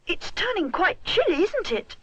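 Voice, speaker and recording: A woman's voice: very high voice, sing-song, normal speed, pushed and bright, voice pushed up, full character voice. A woman voicing a kind, eager young boy who is a bear, speaking politely.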